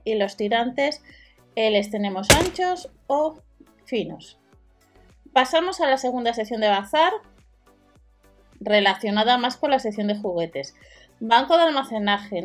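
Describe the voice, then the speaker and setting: Woman reading off store deals. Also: a little high. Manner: matter-of-fact, reads prices and sizes. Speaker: deal-video host. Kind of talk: voice-over product walkthrough.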